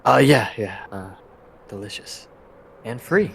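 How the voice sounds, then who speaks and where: Hesitant, halting man at a game table. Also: on the low side, lively intonation, gravelly, breathy edge. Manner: hesitant, halting delivery with trailing phrases. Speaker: player in a tabletop role-playing game. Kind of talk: improvised in-character tabletop dialogue.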